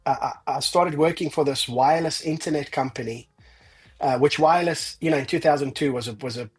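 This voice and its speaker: A man's voice: fairly high pitch, fairly quick, slightly rough. A man in his forties with a South African accent, a podcast guest telling his life story with self-deprecating humor. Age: 40s. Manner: self-deprecating, laughs at his own stories. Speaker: guest, ranch founder. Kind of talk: casual interview storytelling.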